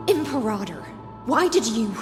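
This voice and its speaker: A loyal but reproachful knight commander from a video game, speaks gravely in a lightly raised register. The accusing, restrained voice echoes through a reverberant hall over clear music. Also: female voice, on the high side. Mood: hurt, accusing. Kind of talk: video game drama dialogue.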